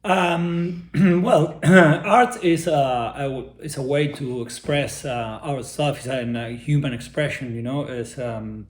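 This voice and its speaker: A man's voice: medium pitch, steady tone, echoey room. A middle-aged man from Argentina with an Argentine Spanish accent, speaking English as a second language and searching for words in a casual interview. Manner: halting, searching for English words, many restarts. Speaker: interview guest, artist.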